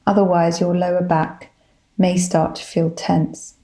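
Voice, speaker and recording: A woman leading a guided body scan meditation, giving gentle, soothing instructions in a calm manner. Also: fairly low pitch, a little slow. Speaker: psychologist, guided meditation leader.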